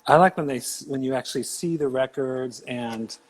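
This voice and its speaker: A man hosting a casual livestream interview, musing out loud and rambling with lots of fillers. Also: medium pitch, normal speed, slight background noise, rough recording. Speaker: host. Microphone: video call livestream.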